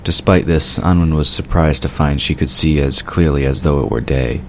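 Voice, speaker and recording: A man's voice: rumbling low, normal speed. A man reading scripted third-person narration for a fantasy audio drama. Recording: clear background noise of night crickets.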